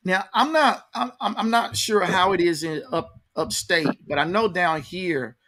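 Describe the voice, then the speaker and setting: Higher-voiced American man hosting an interview. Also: a little slow, pushed and bright. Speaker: interview host. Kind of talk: casual, conversational interview.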